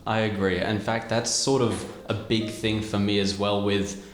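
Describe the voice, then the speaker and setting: A man's voice: lowish voice, flat delivery, normal speed. Young Australian man in an echoey room. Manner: casual, conversational, frequent "like" fillers. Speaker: host. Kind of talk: casual interview chat. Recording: echoey room with faint music.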